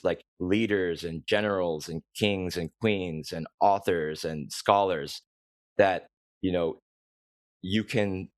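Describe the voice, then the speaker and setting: A man's voice: deep voice, no echo. A man with a Korean American accent, talking earnestly and at length as a podcast host. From United States. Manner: earnest, long-winded, laughs easily. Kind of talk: casual podcast conversation.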